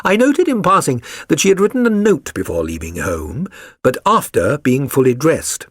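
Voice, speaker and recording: A man's voice: mid-range voice, wildly swooping pitch, moderate pace, a bit bright, no echo, clean studio sound. A man voicing a keen, self-assured detective for an audiobook, with a British accent and a precise, lecturing, condescending manner. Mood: pleased, instructive. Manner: precise, didactic, theatrical. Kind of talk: dramatised audiobook reading.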